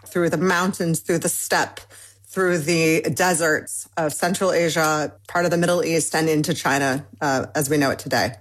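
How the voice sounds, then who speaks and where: A woman's voice: deep voice, steady tone, normal speed. A woman in her forties from Michigan, an author being interviewed, talking casually with many fillers and restarts. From Michigan, United States. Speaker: cookbook author.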